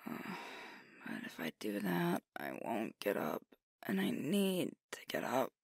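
A woman's voice: extremely low pitch, wildly swooping pitch, takes it slowly, vocal fry, slightly rough, no echo, studio quality, exaggerated voice. A woman voicing a sleepy young werewolf girlfriend in an ASMR roleplay, talking in drowsy half-whispers with hesitations. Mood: sleepy, teasing.

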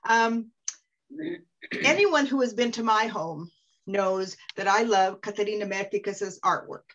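A woman's voice: mid-range voice, measured pace, a bit bright. Gracious woman moderator on a muffled call. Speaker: webinar moderator. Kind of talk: moderating and introducing guests. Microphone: video call. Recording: muffled lo-fi video call audio.

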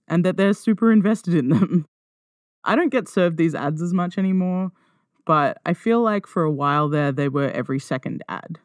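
A woman's voice: sits low, a little mellow, no echo, studio quality. A woman hosting a podcast solo in a casual, conversational way, wry and self-deprecating. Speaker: podcast host. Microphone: close podcast microphone.

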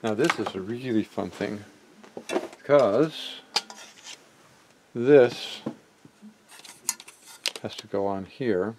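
A man narrating a hands-on repair casually, haltingly thinking aloud as he works. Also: on the low side, noisy background. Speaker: repair hobbyist and video maker. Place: indoor cluttered electronics workbench.

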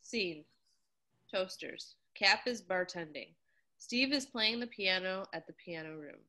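A woman reading stage directions in an amateur table read over a video call, plain and flat. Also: medium pitch, a little slow.

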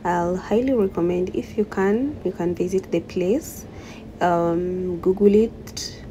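A woman's voice: on the low side, takes it slowly, dark tone, slight background noise. A young woman vlogging to her phone camera, upbeat and chatty, speaking straight to her viewers from a car and a restaurant. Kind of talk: casual vlog talk to camera.

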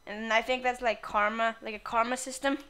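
A woman with a fairly high, bright voice commentates casually over her video game playthrough. She is playful and crude, swearing freely as she goes. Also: little pitch movement, a little fast, clear, resonant tone. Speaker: gamer recording a playthrough.

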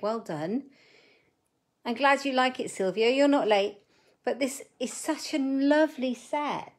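A middle-aged woman hosting a live crafting demo from her desk at home, chatting with viewers and muttering asides as she works. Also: fairly high pitch. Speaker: papercraft host.

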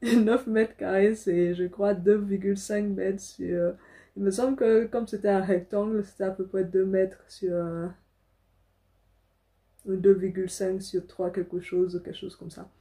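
A woman's voice: mid-range voice, fairly even intonation, unhurried, mellow. A young adult woman from Africa telling a personal story casually to camera as a vlogger, indoors in a small room.

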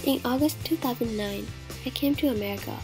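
A young woman from Vietnam with a Vietnamese accent, reading her own life story in a soft, reflective, breathy way. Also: on the high side, fairly quick, low-quality recording. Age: young adult. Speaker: digital story narrator. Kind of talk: scripted personal narration. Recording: voice-over with clear music behind.

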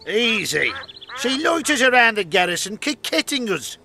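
Sharp, gravelly, brutish soldier man. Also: extremely high pitch, big pitch swings, moderate pace, faint music behind, heavily acted. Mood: accusing, menacing. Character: brutish, menacing soldier, foul-mouthed bully. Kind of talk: video game voice acting.

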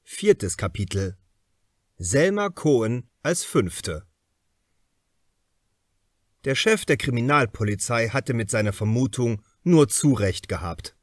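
A male audiobook narrator with a very animated, mid-pitched voice in a dry recording. He reads crime fiction deliberately, stressing key words for drama. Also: no room sound. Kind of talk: scripted literary narration of a crime novel.

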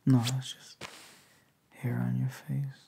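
A young man speaks softly and hesitantly in an intimate, breathy ASMR boyfriend roleplay, tender and low-key. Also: completely flat delivery, slow pace, background noise. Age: young adult. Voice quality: soft, breathy, gravelly. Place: indoors, lying on a bed in a bedroom.

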